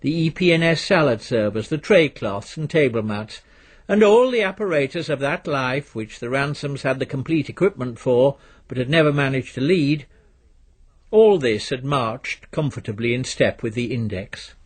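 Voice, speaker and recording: A man reading literary fiction as a narrator, in a measured, precise and dry storytelling manner. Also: medium pitch, animated, slightly rough. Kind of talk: scripted literary audiobook narration.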